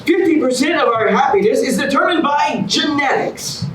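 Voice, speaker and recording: A man plays a showy TV game show host in a staged comedy, very high in pitch and swinging widely. He announces everything grandly, heard from far off. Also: expressive, moderate pace, a loud crowd. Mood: showy, upbeat. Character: showy, excitable, self-satisfied male TV contest host. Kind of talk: theatrical game show presenting in a staged reading. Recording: distant echoey stage recording, clear noise.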